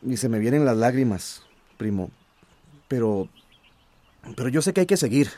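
An actor in a radio drama playing a weary but hopeful village man, halting and emotional. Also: mid-range voice, animated, mellow, no room sound, natural voice. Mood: wistful, hopeful.

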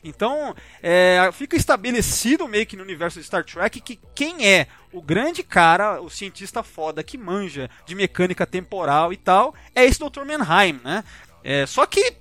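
A Brazilian man with a high voice and very lively intonation hosts a casual podcast. He comments in a rambling, slangy, informal way. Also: moderate pace, no room sound. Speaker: podcast host.

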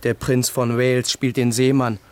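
An actor playing a proud, defiant young Prussian crown prince in a radio play, speaking formally and with haughty emphasis. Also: male voice, medium pitch, flat delivery, normal speed, natural voice. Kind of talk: German radio play acting.

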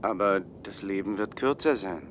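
A man's voice: sits low, normal speed, a bit bright, a little hiss or hum. An actor playing a troubled, hesitant man on an expedition in a 1970s German radio play. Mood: hesitant, resolved. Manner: hesitant, earnest.

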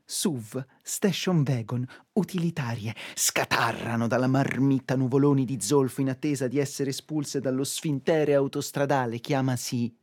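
A man's voice: medium pitch, moderate pace, hardly any pauses, darkish tone, natural voice. An actor playing a frantic young man of the suburbs on stage, declamatory. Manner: declamatory, rhetorical. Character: harried, frantic young everyman of the Roman suburbs. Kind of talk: theatrical satirical monologue and dialogue.

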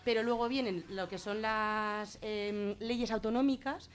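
Female radio guest, Spain accent, medium pitch. Explains in everyday words, halting and thinking aloud, unscripted. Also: fairly even intonation. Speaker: guest explaining gender-violence legislation on a radio show. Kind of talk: informal explanatory talk.

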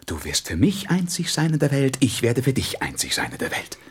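A man playing a wise, courteous, wistful wild fox in a radio play, with a husky voice and animated delivery. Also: mid-range voice, fairly quick, full character voice. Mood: earnest, wistful.

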